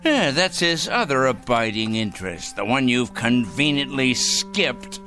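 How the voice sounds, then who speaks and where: An actor in a radio drama playing an obsessive, self-righteous crank, accusing and condescending, with pompous, fussy diction and muttered repetitions. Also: male voice, mid-range voice, expressive, measured pace, bright, forceful tone, soft background music.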